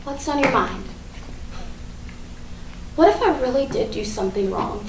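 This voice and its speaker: High-pitched anxious young woman, played by an actress. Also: lively intonation, unhurried, gravelly. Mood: anxious, guilty. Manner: self-doubting, repetitive, swearing. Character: anxious, guilty young woman agonizing over her messages. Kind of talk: theatrical staged reading of a comic play. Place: live staged reading in an echoey room. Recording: echoey, noisy stage recording from far off.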